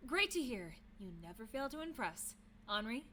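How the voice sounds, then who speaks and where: High-voiced, businesslike student council president played by a woman. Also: big pitch swings, normal speed, pushed and bright. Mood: composed, exacting. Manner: businesslike, in charge. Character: composed, exacting young female student council president. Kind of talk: audio drama acting.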